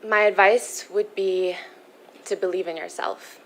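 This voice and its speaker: A young woman from the Philippines, a pageant contestant answering interview questions in a poised, earnest, measured way. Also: mid-range voice, fairly even intonation, a little hiss or hum, low-quality recording. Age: young adult.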